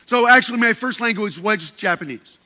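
Male speaker for a group talk: playful and self-mocking, bright and sharp in tone, stumbles and repeats as he goes. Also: high-pitched, animated, brisk. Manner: playful, joking, often repeats and restarts words. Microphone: handheld microphone.

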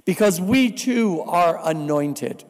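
A man from New Jersey preaching a sermon as a visiting priest, slow and deliberate with dry asides. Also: sits high, animated. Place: church. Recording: reverberant church hall.

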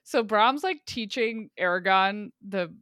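A woman's voice: medium pitch, animated, a little slow, a bit bright, dry recording, studio quality. A woman in casual book chat, reading passages aloud and making wry remarks.

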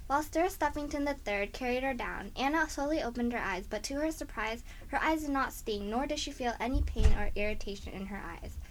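A woman's voice: fairly high pitch, brisk, hardly any pauses, rough recording. A woman reading scripted narration for a children's story as the narrator. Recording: faint background noise.

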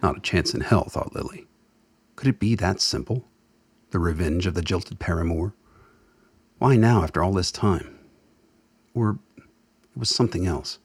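A man giving dramatic scripted narration for a radio play in a husky voice. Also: low voice, measured pace, long pauses, dry recording.

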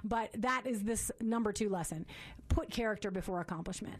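A woman in her forties hosting a faith-based parenting talk, loud and fast-talking, earnest and prone to repeating words as she restarts. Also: mid-range voice.

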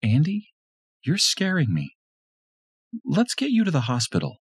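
Man doing a high pleading wife voice, worried woman, dry close sound. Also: huge pitch swings, a little slow, slightly rough, no room sound, full character voice. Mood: frightened. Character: anxious young woman, wife.

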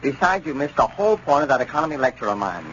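An actor playing a bossy, exasperated husband with an American accent, insistent and lecturing in a 1930s radio advertisement. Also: male voice, mid-range voice, big pitch swings, fast talker, lightly acted. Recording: muffled, hissy 1930s radio recording.

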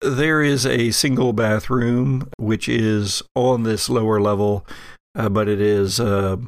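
A man runs a horror tabletop game as its narrator. He speaks slowly and descriptively, with a slightly creaky, mid-pitched voice. The sound is dry and close. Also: fairly even intonation, dry recording. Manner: measured, descriptive storytelling. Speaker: game master.

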